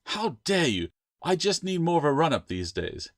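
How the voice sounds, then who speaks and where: A man's voice: fairly high pitch, big pitch swings, moderate pace, no room sound, clean studio sound, voice pushed up. Storybook giant gecko, played by a male reader: greedy, boastful, easily indignant, voice lifted above the narrator's. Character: cheeky, proud, greedy giant gecko familiar.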